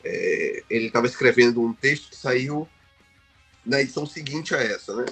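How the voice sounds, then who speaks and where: A man's voice: mid-range voice, moderate pace, a bit bright, husky, faint music behind. A Brazilian man speaking Portuguese with a Brazilian accent as a podcast guest, talking with frequent fillers and restarts. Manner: hesitant, many restarts and fillers. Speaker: guest researcher. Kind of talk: podcast interview.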